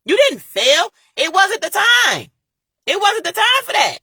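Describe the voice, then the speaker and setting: A woman's voice: very high-pitched, very animated, a little slow, bright, forceful tone, dry recording, studio quality. A young woman with giving an impassioned motivational talk, repeating her points and speaking straight to her viewers. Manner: preachy, repeats phrases, talks straight to viewers. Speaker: motivational vlogger.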